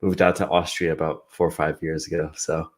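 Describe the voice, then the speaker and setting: A man's voice: rumbling low, level tone, a little fast. A man from San Francisco, a digital marketer, explaining his work as a guest in a casual video-call interview. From San Francisco, United States. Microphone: podcast-style microphone.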